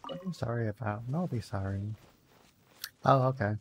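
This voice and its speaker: A soft-spoken, quiet man who is a little under the weather, chatting casually with viewers while streaming a game. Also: expressive, moderate pace, noisy background. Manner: low-key, chatting with viewers between play. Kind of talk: casual gameplay stream chat.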